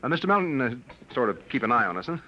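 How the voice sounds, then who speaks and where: A man's voice: mid-range voice, big pitch swings, fast, close to an everyday voice. An actor in a 1950 radio drama playing a cornered male scientist on the run, earnest and protective. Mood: tense. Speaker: William Travis. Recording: muffled old radio broadcast.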